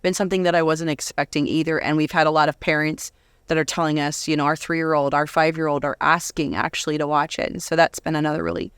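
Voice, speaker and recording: A woman's voice: deep voice, fast talker, smooth, no room sound. A woman author and speaker talking casually in a conversational interview, chatty and giggly, in a dry close-sounding recording.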